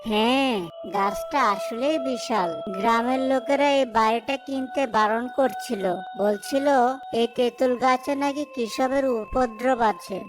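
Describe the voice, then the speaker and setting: A man's voice: very high voice, a little slow, low-quality recording. A man playing a practical, wary young husband in a cartoon, complaining and uneasy, with music behind him. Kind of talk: cartoon dialogue acting.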